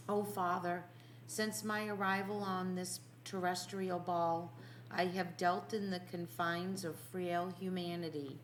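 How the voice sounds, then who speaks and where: Woman plays anguished but resolute holy man, audiobook prayer, grave, very unhurried, faint noise behind. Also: lowish voice. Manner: grave, poetic, deliberate.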